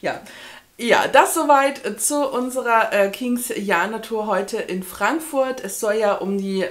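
A woman's voice: a little high, slow pace. A woman in her thirties chatting casually and informally to camera as a book vlogger. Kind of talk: casual chat to camera about books. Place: small indoor room with bookshelves.

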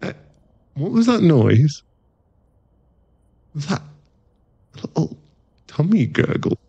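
A man's voice: a little high, sing-song, slow, vocal fry, mellow, slightly rough, dry recording, dull audio, heavily acted. A man playing a playful, affectionate, laddish werewolf boyfriend in a casual, teasing ASMR roleplay. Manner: casual, laddish, teasing, drops into murmured asides.

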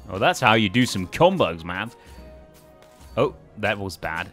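A man's voice: fairly low pitch, big pitch swings, measured pace, a bit bright, faint music behind. A man casually thinking aloud as he commentates a video game. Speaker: let's play host.

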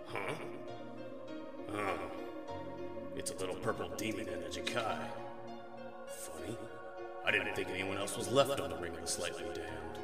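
A man plays a huge demon guard dog in a radio play. The voice is low and gruff, with a pompous, irritable air, ringing in long reverb over music. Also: moderate pace, pauses often, far from the mic, lightly acted. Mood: dismissive. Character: giant gruff many-headed demon dog gatekeeper, irritable.

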